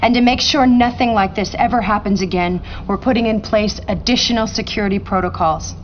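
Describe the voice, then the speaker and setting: Actress as slick company spokeswoman, formal statement, medium pitch, dull noisy game audio. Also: a little fast, natural voice. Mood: reassuring, official. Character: polished, reassuring corporate spokeswoman. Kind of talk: prepared public statement in game cutscene.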